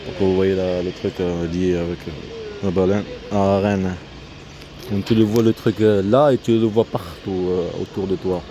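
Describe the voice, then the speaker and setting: A man giving a casual, rambling vlog commentary in a halting, repetitive way, often breaking off his own sentences. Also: sits low, level tone. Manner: halting, repetitive, self-interrupting. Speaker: vlogger. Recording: noisy outdoor recording with vehicle sounds.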